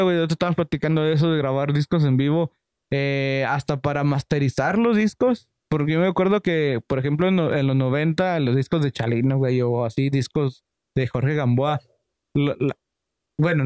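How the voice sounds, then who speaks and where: Man, casual host, slangy, easygoing, fairly high voice, dry close sound. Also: darkish tone, clean tone, no echo. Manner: slangy, laid-back, laughs often. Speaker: podcast host. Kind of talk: casual chat.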